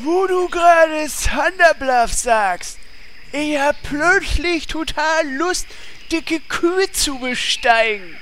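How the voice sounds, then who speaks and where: A man voicing a crude, gleeful beast with a tail, boasting in a silly, excitable way. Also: very high-pitched, slow, a little hiss or hum, heavily acted. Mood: eager.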